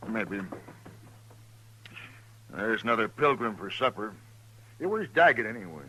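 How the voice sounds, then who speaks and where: An actor playing a grumbling, suspicious stagecoach driver in an old-time radio western, blunt, wry and folksy. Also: male voice, fairly low pitch, very animated, unhurried, pushed and bright, exaggerated voice, poor audio. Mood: brisk, suspicious.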